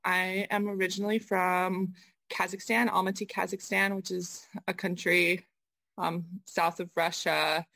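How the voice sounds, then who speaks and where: A woman's voice: fairly low pitch, little pitch movement, unhurried, creaky, pushed and bright, dry recording. An American woman from Southern California answering interview questions as an artist guest, conversational and often searching for her words. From United States, mainly Southern California. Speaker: interview guest, designer and sculptor.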